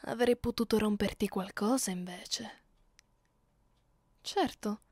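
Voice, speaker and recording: Woman playing female vampire mistress, haughty, menacing, bored, unhurried delivery, mid-pitched, ASMR audio drama. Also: a little mellow, no echo, clean studio sound. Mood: cold threat. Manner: imperious, taunting, measured, at times whispered. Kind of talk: ASMR roleplay.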